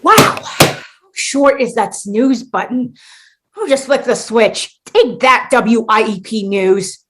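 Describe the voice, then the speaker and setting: A woman's voice: on the high side, animated, takes it slowly, a bit bright. A young woman playing an exasperated, sarcastic mall mascot in a comic radio play, with theatrical delivery. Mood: irritated. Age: young adult. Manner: theatrical, sarcastic, big exclamations.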